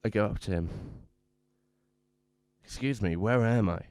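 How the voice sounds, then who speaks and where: A man playing a troubled, hard-drinking man who tells his own story in halting, haunted phrases for a radio drama. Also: lowish voice, a little mellow, dry recording, lightly acted. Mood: urgent recollection. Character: troubled, drunken man confessing his ruin. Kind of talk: dramatic first-person radio drama monologue. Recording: music playing behind the voice.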